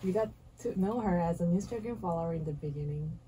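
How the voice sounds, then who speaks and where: A woman's voice: fairly low pitch, fast, warm tone, a little hiss or hum, rough recording. A woman hosting an interview, asking questions and attentively murmuring along as she listens. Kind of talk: casual interview.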